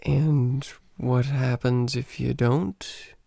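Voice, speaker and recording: An actor playing an eager, smitten American teacher on holiday in a radio play, boyish and halting in his enthusiasm. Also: mid-range voice, fairly even intonation, gravelly, mellow, dry recording, heavily acted. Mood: intrigued.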